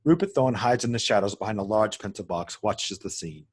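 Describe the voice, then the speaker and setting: A man serves as narrator for a screenplay table read, delivering scene headings and action lines. His voice sits fairly low and has a noticeably husky, rough edge. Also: expressive, brisk. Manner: stumbles and corrects himself while reading. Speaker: narrator reading stage directions.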